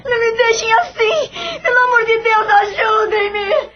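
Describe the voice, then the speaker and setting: An actress voicing a tormented wife who cries and pleads in pain, in a radio drama. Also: female voice, extremely high pitch, fairly even intonation, measured pace. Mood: anguished. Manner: crying, pleading, distraught. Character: tormented wife in spiritual anguish. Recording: dull lo-fi audio.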